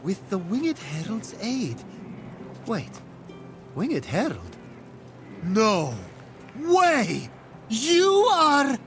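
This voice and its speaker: An actor playing an earnest, wide-eyed young mortal hero in a video game, sincere and expressive. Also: male voice, sits high, drawn-out words, long pauses, faint music behind, exaggerated voice. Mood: incredulous. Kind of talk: dramatic video game character acting.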